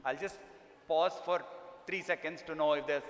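A man's voice: on the high side, moderate pace, background noise. Man moderating in an echoey hall. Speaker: panel moderator at an HR conference. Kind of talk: unscripted panel moderation. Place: large conference hall stage, indoors. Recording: echoey hall, amplified stage sound.

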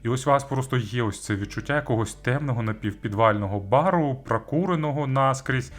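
A man's voice: medium pitch, brisk. A man giving a conversational product review as host, searching for words and adding informal asides. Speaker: audio gear reviewer and host.

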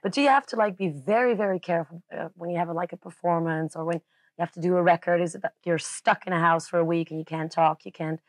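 A woman's voice: fairly low pitch, fairly even intonation, quick pace, no room sound, poor audio. A young woman interviewing a guest in a studio, asking friendly, casual questions. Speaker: TV host, interviewer. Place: indoor studio set.

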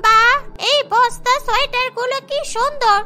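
A woman's voice: extremely high pitch, steady tone, normal speed, bright, forceful tone. A woman voicing a frail, kindly, sorrowful elderly grandmother in a cartoon, speaking plaintively. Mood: admiring, concerned. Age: older. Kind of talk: Bengali cartoon story voice acting. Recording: background music under the voice.

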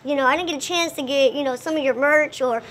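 A woman's voice: high-pitched, quick pace, fairly bright tone, a little hiss or hum, low-quality recording. A young woman giving a casual, chatty interview, often acting out what other people say. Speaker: performer being interviewed. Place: indoor lounge by a window.

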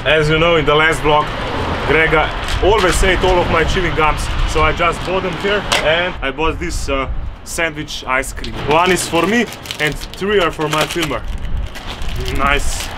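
A young man from Slovenia, talking excitedly to the camera in a casual travel vlog, partly while driving. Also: fairly high pitch, measured pace. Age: young adult. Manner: enthusiastic, talks straight to camera. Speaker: vlogger. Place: outdoors in city streets and inside a car. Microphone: handheld camera, in-car camera. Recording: loud street and car noise. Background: traffic and engine noise.

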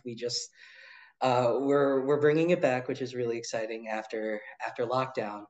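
A man in his thirties, an arts director, answering interview questions in a relaxed way with many ums and uhs. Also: medium pitch. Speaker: arts nonprofit director, guest.

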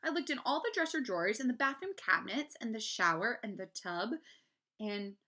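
A woman's voice: a little high, expressive, normal speed, bright, forceful tone. A woman voicing a flustered, melodramatic, doting mother in a children's story. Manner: dramatic, doting.

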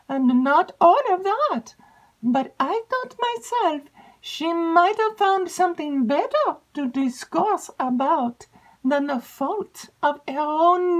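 A woman reading an audiobook and voicing a chattering, gossipy young maid with breathless, drawn-out emphasis. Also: very high-pitched, voice pushed up, exaggerated voice, rough recording.

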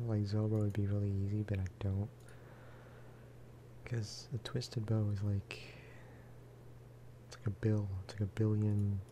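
Soft-spoken man with a very mellow voice. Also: on the low side, slight background noise. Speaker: gaming video creator. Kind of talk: soft-spoken ASMR gameplay chat.

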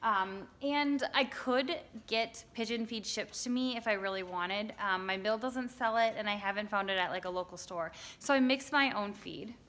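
Woman in her thirties, bright and chatty, casual vlog from a barn coop on a handheld phone. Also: mid-range voice, normal speed, hardly any pauses. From Wisconsin, United States. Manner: chatty, lots of ums and likes. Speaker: hobby farmer vlogger. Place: inside a wooden barn pigeon coop. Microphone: phone held at arm's length.

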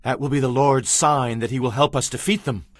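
An actor playing a bold, faithful young warrior prince in an audio Bible drama. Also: male voice, medium pitch, steady tone, brisk, no room sound, clean studio sound, close to an everyday voice. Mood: urgent, bold.

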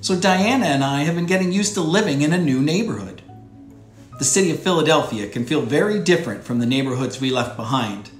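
An American man in his thirties hosting a children's read-aloud show, speaking to young viewers in clear, simple phrases as he presents and reads from a script. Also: a little high, lively intonation, brisk, roomy sound, soft background music. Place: small furnished room indoors.

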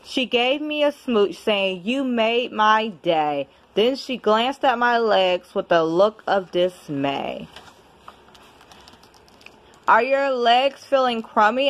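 Slow, high-voiced woman reading a storybook. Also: expressive. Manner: measured, deliberate storybook delivery. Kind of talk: expressive children's picture-book read-aloud. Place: indoors at home.

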